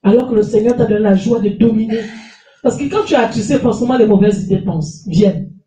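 A woman's voice: on the high side, quick pace, mellow. Woman pastor, middle-aged, with a cold; emphatic sermon, handheld mic; echoey hall, distant mic. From France. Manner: emphatic preaching, repeating phrases, calling for amens. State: has a cold. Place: small church hall with stage and lectern. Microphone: handheld mic, far camera.

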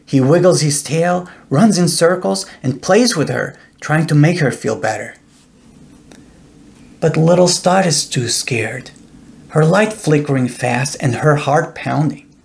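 A middle-aged man narrating a children's bedtime story in a deliberate, expressive storytelling way. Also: fairly high pitch, creaky. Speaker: author reading own children's book.

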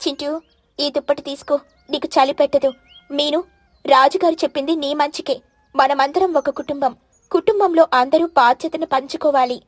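Woman playing gentle sparrow mother, kind, earnest, soothing, storybook cartoon, highish voice, dry sound. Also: steady tone, moderate pace, no echo, close to an everyday voice.

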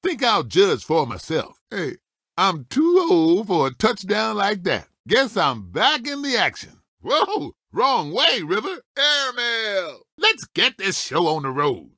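Feisty, high-pitched old race car. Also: male voice, huge pitch swings, slow pace, bright, forceful tone, husky, no room sound, clean studio sound, exaggerated voice. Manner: folksy, cocky, exclamatory one-liners. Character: feisty old talking race car, folksy veteran racer. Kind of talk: animated video game character voice clips.

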